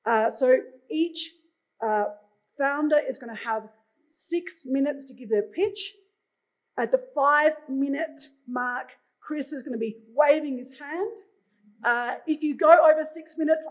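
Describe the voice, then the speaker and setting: Australian woman, middle-aged, high voice, chatty stage moderator with fillers. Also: darkish tone, poor audio. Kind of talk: conference stage introduction. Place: conference stage.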